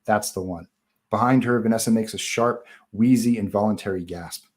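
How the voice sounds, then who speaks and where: Low-voiced man narrating a horror script. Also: normal speed. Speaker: narrator reading stage directions. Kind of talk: scripted narration at live table read.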